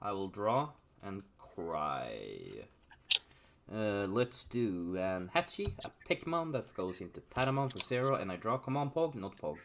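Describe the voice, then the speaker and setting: A man's voice: lowish voice, lively intonation, unhurried. A man playing a card game with a friend on stream, casual and self-deprecating. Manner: self-deprecating, reacts with groans and laughs. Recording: noisy stream recording.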